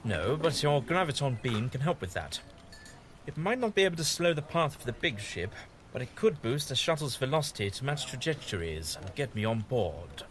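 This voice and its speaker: A man's voice: medium pitch, sing-song, normal speed, slight background noise, close to an everyday voice. A man playing a whimsical, kindly, evasive time-travelling adventurer in an audio drama, with fussy and hesitant politeness. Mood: brisk, curious.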